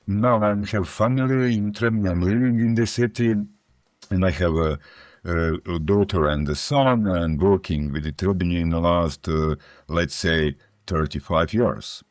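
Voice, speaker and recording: A man answers interview questions, stopping and restarting as he hunts for English words. His voice sits fairly low and sounds dry and close. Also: no echo. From Croatia. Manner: halting, searching for English words with many restarts. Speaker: guest.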